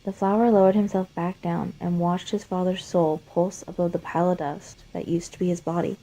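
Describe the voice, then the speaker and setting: A woman's voice: lowish voice, flat delivery, a little fast, warm tone, low-quality recording. A woman reading and chatting as an amateur fan fiction writer and narrator. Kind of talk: scripted story narration and casual chat.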